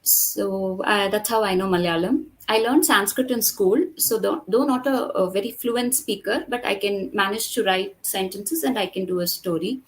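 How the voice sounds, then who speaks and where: A woman from Kerala whose first language is Tamil, talking as a storyteller guest, explaining in a measured way with frequent fillers. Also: mid-range voice. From Kerala, India. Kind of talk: conversational interview and storytelling.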